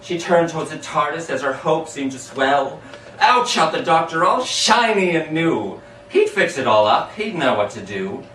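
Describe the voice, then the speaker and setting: A man reads storytelling verse aloud in a theatrical, sing-song manner, his pitch moving up and down dramatically. He sounds some way off from the microphone, with the echo of the room around his voice. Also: normal speed. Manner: expressive, dramatic, sing-song rhyming delivery. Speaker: amateur poet reading their own poem. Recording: echoey room, distant mic, background hiss.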